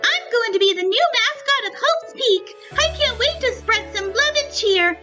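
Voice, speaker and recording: A woman's voice: very high-pitched, expressive, normal speed, over music, pitched above the performer's own voice. A woman voicing a cheerful, loving talking mascot with a gushing, sweet manner, in a story reading.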